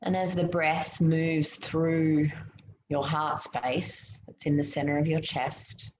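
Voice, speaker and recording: A woman leading a guided meditation in a soothing, gentle way, giving unhurried instructions with pauses. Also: sits low, flat delivery. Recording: muffled, roomy, noticeable background hiss.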